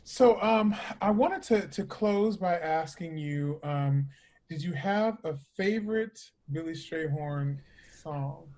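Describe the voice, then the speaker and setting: Higher-voiced man hosting an interview. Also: takes it slowly, darkish tone. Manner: affirming, eagerly agreeing. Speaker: interviewer, host. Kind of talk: conversational interview.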